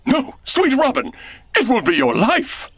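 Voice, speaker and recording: An actor playing a gruff, hot-tempered, loyal outlaw henchman, blustering in old radio drama style. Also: extremely high pitch, wildly swooping pitch, unhurried, fairly bright tone, no echo, heavily acted. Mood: loyal, agitated. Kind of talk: old-time radio adventure acting, archaic English.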